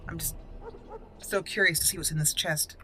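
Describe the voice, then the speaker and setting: A woman's voice: low voice, fairly even intonation, moderate pace, bright, forceful tone. A woman giving casual gameplay commentary, thinking aloud and reading item names out as she goes. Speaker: gaming channel host. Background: video game music.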